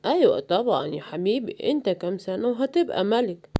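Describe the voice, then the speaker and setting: A woman's voice: mid-range voice, very animated, fast, warm tone, a little hiss or hum. A woman with an Egyptian accent voicing a fatherly, commanding king in a children's cartoon. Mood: fond. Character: father lion king, fond, bossy, protective of his son. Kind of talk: animated children's story.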